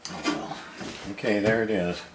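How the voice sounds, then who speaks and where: A man's voice: on the low side, fairly even intonation, normal speed. A man giving casual hands-on unboxing commentary, muttering and grumbling to himself with some mild swearing. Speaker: hobbyist radio repairer presenting an unboxing. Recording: noisy home recording with handling clicks.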